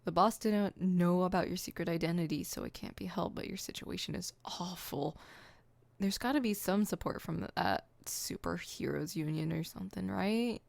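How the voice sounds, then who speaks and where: A woman's voice: fairly low pitch, normal speed, vocal fry, darkish tone, no room sound. A woman voicing an exasperated, proud but secretly caring supervillain in an ASMR roleplay, with an indignant, wordy, dry delivery. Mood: concerned.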